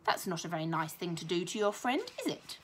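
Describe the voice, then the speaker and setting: A woman voicing a stern, angry male schoolmaster who scolds pupils in a clipped shout, in a storybook reading. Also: high voice, expressive, brisk, a little hiss or hum. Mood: disapproving.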